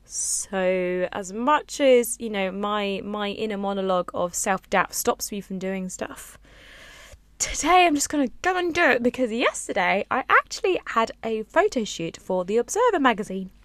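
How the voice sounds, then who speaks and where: A young woman talks through a casual solo podcast, wandering from one thought to the next with sighs and fillers. Her voice sits in an ordinary middle pitch but sounds low and slightly husky today. The recording is dry and close, with no room sound. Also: very animated, moderate pace, a bit bright, no room sound. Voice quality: low and a bit husky after a late night. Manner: rambling, chatty, with sighs and frequent ums. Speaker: podcast host. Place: on a boat, small space.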